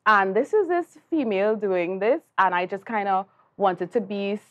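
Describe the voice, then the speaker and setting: A young Trinidadian woman engineer giving a composed, measured account as a guest in a TV studio interview. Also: mid-range voice, highs cut off.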